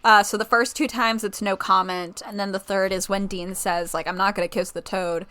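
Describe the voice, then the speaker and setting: A woman's voice: mid-range voice, steady tone, normal speed, bright, forceful tone. A woman podcast co-host chatting casually about a TV show in an informal, rambling way, often correcting herself.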